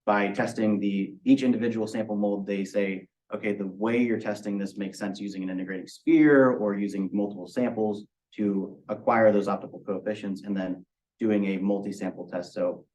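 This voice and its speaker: A young man, a university student, explaining technical work in measured answers during a project review. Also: on the low side, steady tone, a little mellow, rough recording. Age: young adult.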